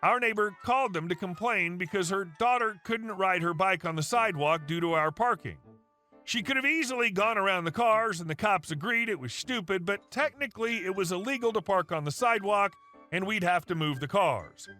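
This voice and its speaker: A man narrating online stories in a wry, animated scripted way. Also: high voice, fairly quick, soft background music. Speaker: story narrator.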